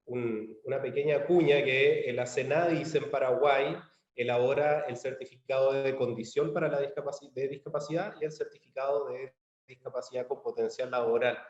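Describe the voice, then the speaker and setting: A man with a Chilean accent giving a measured conference presentation over a video call, with frequent fillers and restarts. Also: medium pitch, roomy sound, highs cut off. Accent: Chilean Spanish. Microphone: computer mic on a video call.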